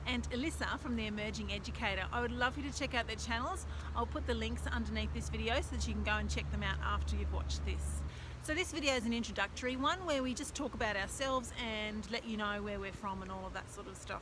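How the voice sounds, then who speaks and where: A woman's voice: a little high, noisy background. A woman in her forties with an Australian accent, an English teacher chatting casually and upbeat to camera for her video channel. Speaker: teacher vlogger.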